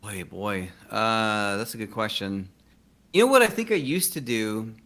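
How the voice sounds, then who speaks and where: A man's voice: lowish voice, expressive, a little slow, fairly bright tone. A man talking casually as a screenwriter guest in an interview, rambling and self-deprecating as he tells stories.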